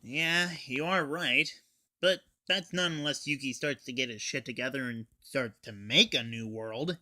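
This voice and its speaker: A young man reviews anime in a casual, scripted video style. His voice is bright and sits at a middling pitch, with informal, sweary phrasing. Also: measured pace. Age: teens or young adult.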